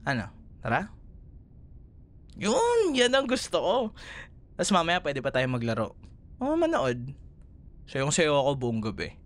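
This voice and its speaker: A man plays a young Filipino boyfriend in an intimate ASMR roleplay, affectionate and protective. His speech is slow and very lively in pitch, moving between playful teasing and tender, soothing murmurs.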